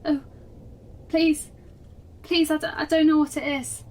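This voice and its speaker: A woman voicing a terrified little girl phoning the police, breathless, halting and pleading, in a horror story. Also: high voice, flat delivery, a little mellow, far from the mic, slight background noise, pitched above the performer's own voice. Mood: hysterical, pleading.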